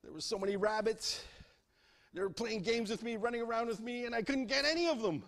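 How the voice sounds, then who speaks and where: A man's voice: very high voice, a little fast, fairly bright tone, pitched above the performer's own voice. A man voicing a lazy, sleepy male coyote who drawls out self-satisfied excuses. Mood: making excuses.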